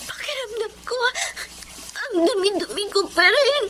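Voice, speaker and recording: A woman in a radio drama playing a frightened, anguished fifteen-year-old girl with a Filipino accent, halting and pleading. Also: very high-pitched, lively intonation, fairly bright tone, slight background noise, heavily acted. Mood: anguished, tearful. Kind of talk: Tagalog radio drama acting.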